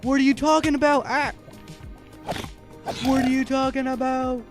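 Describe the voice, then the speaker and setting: A man with a very high voice giving casual, chatty live stream commentary as he plays a video game. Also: moderate pace, music in the background. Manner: chatty, self-narrating while playing, easily animated.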